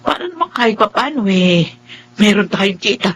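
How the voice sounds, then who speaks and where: An easily moved middle-aged mother in Filipino radio drama. The pitch is ordinary for a woman, the delivery emotional and motherly. Faint noise lies behind the voice. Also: very animated, normal speed, a bit bright. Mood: delighted, hopeful. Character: anxious, easily moved mother of a student daughter.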